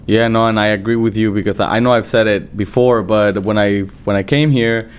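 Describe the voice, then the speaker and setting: A man's voice: lowish voice, steady tone, brisk, a little hiss or hum. A man from El Salvador, interviewing a guest in an informal, conversational way. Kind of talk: casual interview.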